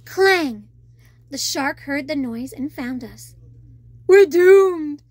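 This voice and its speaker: A high-pitched woman reads a storybook for young children. She is expressive and animated, speaking directly to her listeners in a chatty way as a storytelling host. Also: slow pace, no echo.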